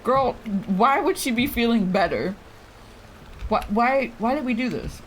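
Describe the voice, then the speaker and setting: A woman's voice: a little high, expressive, measured pace, slight background noise, poor audio. A woman giving casual, blunt and sarcastic live commentary as she streams a game.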